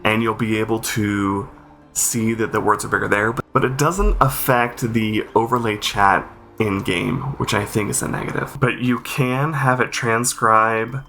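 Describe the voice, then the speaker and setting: A man's voice: on the low side, fairly even intonation, unhurried, creaky, pushed and bright, a little hiss or hum. A man hosting a game accessibility review, explaining things informally and thinking aloud as he goes. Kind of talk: informal spoken walkthrough and review commentary.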